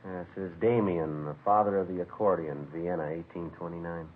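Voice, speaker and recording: An actor playing a matter-of-fact police detective sergeant, polite but persistent, in a 1950s radio crime drama. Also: male voice, low-pitched, fairly even intonation, fairly quick, lightly acted. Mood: businesslike. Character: terse, businesslike male police detective sergeant. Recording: narrow-band vintage radio recording, faint noise.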